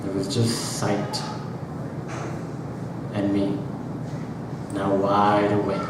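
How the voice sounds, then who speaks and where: A man giving a dramatic, deliberate literary reading of first-person prose, with drawn-out pauses and rhythmic repetition. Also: lowish voice, monotone. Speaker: author reading own work aloud. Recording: very echoey hall, distant mic, background noise.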